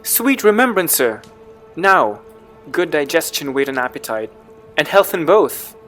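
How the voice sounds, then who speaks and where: A man's voice: fairly high pitch, very animated, a little slow, fairly bright tone, soft background music. An actor playing a guilt-haunted, raving king in a dramatic reading of Shakespeare. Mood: courteous.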